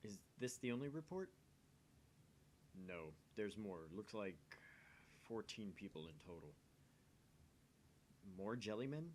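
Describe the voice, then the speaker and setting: A man with a fairly low voice reads game narration and lines of dialogue aloud. The recording is homemade, with a noticeable low rumble of noise behind him. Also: sing-song, takes it slowly, pauses often. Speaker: amateur voice reader of a visual novel.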